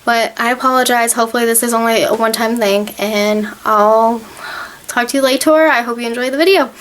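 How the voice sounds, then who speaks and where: A young woman in her twenties with a slightly hoarse, fading voice, talking to camera in a chatty, earnest way with plenty of fillers. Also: fairly high pitch, fairly even intonation, brisk, a bit bright, slight background noise. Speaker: vlogger. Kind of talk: casual talk to camera.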